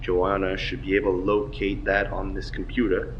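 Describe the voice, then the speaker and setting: A man playing a solemn superhero father in a recorded message, measured and instructive. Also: low voice, monotone, close to an everyday voice. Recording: dull, noisy video playback.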